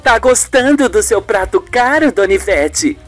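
Woman doing a poised shrewd journalist in a radio soap, pointed, animated voice, music behind. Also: medium pitch, unhurried, natural voice. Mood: polite. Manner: pointed, probing. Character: poised, shrewd woman TV journalist confronting her mother-in-law. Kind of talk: amateur radio soap opera acting.

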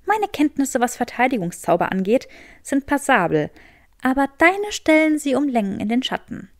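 A woman's voice: high-pitched, sing-song, moderate pace, no room sound, studio quality. A woman voicing a clever, earnest and determined young witch, explaining eagerly in an audiobook. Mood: eager, explanatory.

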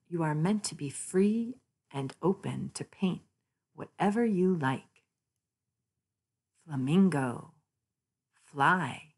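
A woman reading a children's picture book aloud, naming things deliberately with sing-song emphasis. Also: low-pitched. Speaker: read-aloud channel host.

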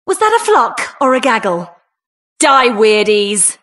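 High-voiced, cheeky British builder heroine. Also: female voice, big pitch swings, measured pace, fairly bright tone. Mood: gleeful, cocky. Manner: cheeky, plucky, punchy short exclamations. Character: plucky, cheeky woman builder hero with a sledgehammer. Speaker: video game voice actor. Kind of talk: video game hero voice lines.

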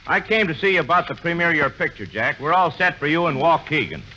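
An actor doing a folksy, dry small-town mayor in a 1940s radio comedy, his voice sharp and bright, rising and falling a lot. He speaks a little high for a man. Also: expressive, fast, faint music behind, rough recording.

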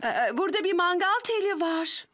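A woman's voice: very high-pitched, lively intonation, normal speed, a bit bright. A woman playing a dutiful firefighter with a head cold in a dubbed children's cartoon, sounding hesitant and under the weather. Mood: weary but willing. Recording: music behind the voice.

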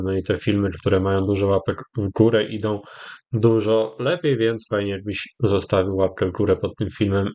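A Polish man hosting a gaming channel, giving casual, rambling commentary with hesitant, drawn-out pauses. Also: on the low side, darkish tone. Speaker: YouTube gaming host. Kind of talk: casual commentary over gameplay.

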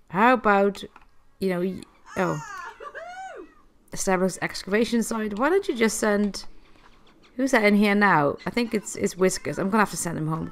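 A woman's voice: mid-range voice, gravelly. A woman with a cold, chatting through a life-sim game and talking to the characters. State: has a cold. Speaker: gameplay host. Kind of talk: casual gameplay commentary.

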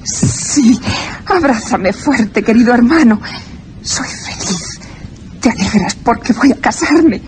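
An actress playing a devoted, anxious young lady in a radio horror drama, melodramatic and soft-hearted. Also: on the high side, lively intonation, takes it slowly, slight background noise. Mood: tender, happy. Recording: prominent music under the voice.

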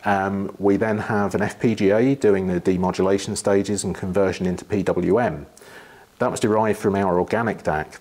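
A middle-aged man with a husky voice, giving a technical explanation in an interview, pausing thoughtfully as he phrases things. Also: sits low, darkish tone. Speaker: loudspeaker engineer being interviewed. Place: living room with wood panelling and large window.

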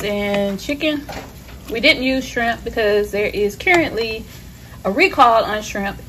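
A woman's voice: on the high side, a bit bright, a little hiss or hum, rough recording. A woman in her thirties chatting informally in a casual home vlog, recorded indoors on a handheld phone. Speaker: vlogger.

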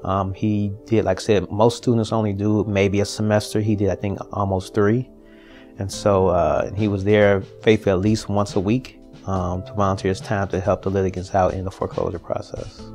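A middle-aged man in an office interview, speaking haltingly with repeated words and fillers. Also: on the low side, flat delivery, darkish tone, soft background music. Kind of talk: interview to camera. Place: indoor office.

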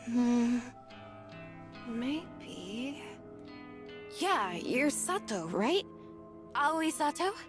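An impatient young visual novel heroine voiced by a woman reader, curt and sarcastic. Her fairly high voice swings a lot in pitch, with music clearly behind it. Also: very animated, extremely slow, long pauses, exaggerated voice. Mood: puzzled, weary. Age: young adult. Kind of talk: visual novel character reading. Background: background music.

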